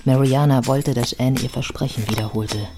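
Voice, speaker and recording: An actress playing a composed, factual biographer, narrating a radio play in a measured, matter-of-fact way. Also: female voice, rumbling low, steady tone, background noise. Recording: studio radio play with music behind.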